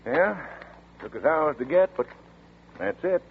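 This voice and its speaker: A brisk young sheriff. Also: male voice, a little high, lively intonation, gravelly, a little hiss or hum. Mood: matter-of-fact. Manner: brisk, matter-of-fact briefing. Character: efficient young country sheriff. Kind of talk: 1940s radio acting. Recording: tinny old radio broadcast.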